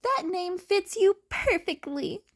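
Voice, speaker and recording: A woman's voice: very high voice, animated, slow, voice pushed up, full character voice. A woman voicing a cheerful teenage boy with a perky, whiny manner in a fan fiction reading. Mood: cheery. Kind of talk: fan fiction character acting.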